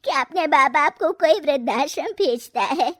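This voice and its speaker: A woman's voice: high voice, lively intonation, normal speed, no echo, clean studio sound. A woman voicing a reproachful elderly grandmother in a cartoon moral story.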